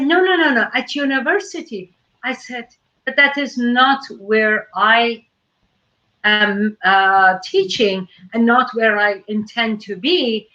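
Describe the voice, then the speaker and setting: Woman host talking real slow and thoughtful, lots of ums, muffled call sound. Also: fairly high pitch, fairly bright tone. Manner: slow, thoughtful, with many fillers. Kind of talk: casual conversation. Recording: dull lo-fi call audio.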